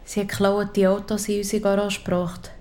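A woman's voice: mid-range voice, little pitch movement, normal speed, warm tone, lightly acted. A woman voicing a loving father who explains things to his child. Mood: confessional.

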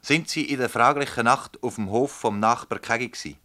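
A man's voice: medium pitch, moderate pace, bright, forceful tone, lightly acted. A man playing a stern, methodical public prosecutor in a radio drama, with a Swiss German accent and a formal, probing, commanding manner. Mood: measured, insistent. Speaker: actor. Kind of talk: radio drama acting in dialect.

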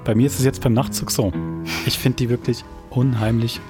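A man's voice: medium pitch, fairly even intonation, a little fast, music in the background. A man with a Swiss German accent hosting a podcast in casual discussion. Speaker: podcast host.

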